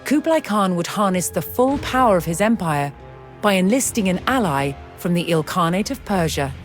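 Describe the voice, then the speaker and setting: Low-pitched woman narrator over music. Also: animated. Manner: measured, formal storytelling. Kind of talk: scripted historical documentary-style game narration. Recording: music behind the voice. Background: background music.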